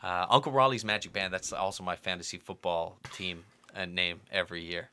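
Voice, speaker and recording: Male, middle-aged. Low but very sharp, bright voice; casual, digressive interviewee. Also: fairly even intonation, fairly quick. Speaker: podcast guest.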